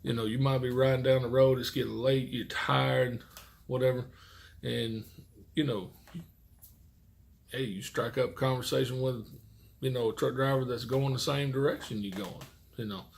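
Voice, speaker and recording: A man's voice: mid-range voice, steady tone, normal speed, vocal fry, pauses often. A middle-aged man, a truck driver chatting casually and folksily in a rambling way to his viewers. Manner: folksy, rambling, mild swearing. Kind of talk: casual chat to camera.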